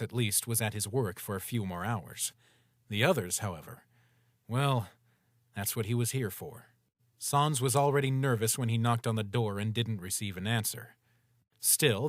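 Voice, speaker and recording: A man narrates a story in a steady, plain voice. His pitch sits in the middle range. The recording is close and dry. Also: dry recording. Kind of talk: storybook narration for a comic dub.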